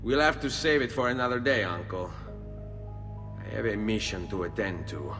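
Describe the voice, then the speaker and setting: An actor voicing a determined young assassin in a video game, speaking English in a resolute, measured way. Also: male voice, on the low side, in a large hall, over music, natural voice. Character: young male Italian assassin, determined and steady. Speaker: lead hero.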